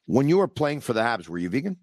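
Brief: male podcast host, mid-pitched, going hoarse, excitable and emphatic, dry close mic. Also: fast, no room sound. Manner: animated, emphatic, repeats phrases. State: losing his voice. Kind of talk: sports talk, casual.

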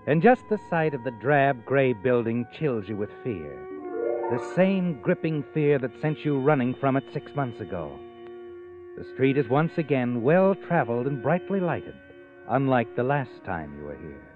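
A man's voice: medium pitch, natural voice. An actor playing an ominous, accusing male narrator, insinuating and menacing, in old-time radio suspense style. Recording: muffled vintage radio recording, narrow band. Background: dramatic instrumental music.

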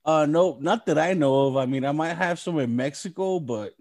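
Higher-voiced, easygoing man. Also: normal speed, darkish tone. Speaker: podcast host. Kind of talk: casual podcast chat.

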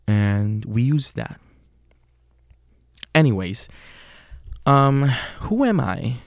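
Slow, gravelly young man with a Puerto Rican accent. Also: medium pitch, very animated, no room sound. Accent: Puerto Rican Spanish. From Puerto Rico. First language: Spanish. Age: young adult. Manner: earnest, with frequent fillers and self-corrections. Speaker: podcast host. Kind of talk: solo podcast monologue.

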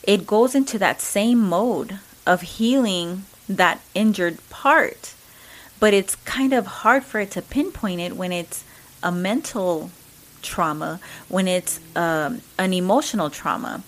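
A woman's voice: medium pitch, takes it slowly, poor audio. A woman with a Mexican accent talking earnestly and casually, with many fillers and restarts. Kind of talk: casual reflective talk.

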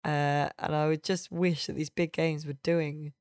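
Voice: mid-range voice, flat delivery, unhurried, a little mellow, clean tone, no room sound, clean studio sound. A podcast host, chatting casually and opinionatedly about games in an informal, sweary way.

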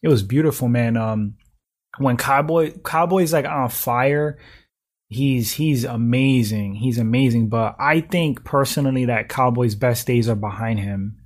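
A man in his thirties talks loosely through a livestream, tossing out slang and clearing his throat often. His voice is slightly hoarse and sits at an ordinary, middling pitch. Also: unhurried. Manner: relaxed slangy talk, frequent throat clearing. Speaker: livestream host reading chat. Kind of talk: casual chat.

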